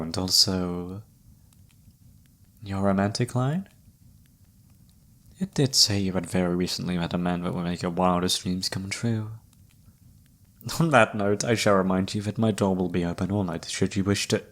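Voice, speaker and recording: A man playing a mysterious, flirty palm reader in an ASMR roleplay, speaking softly in a formal, courtly way. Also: deep voice, measured pace, long pauses. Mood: flirtatious. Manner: soft-spoken, formal, courtly. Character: mysterious flirty palm reader, a wandering male fortune-teller.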